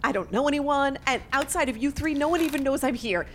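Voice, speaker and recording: A woman's voice: sits high, fairly quick, slight background noise, lightly acted. An actress playing a secretly murderous young woman who feigns innocence among old friends in an audio drama. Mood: pleading, nervous.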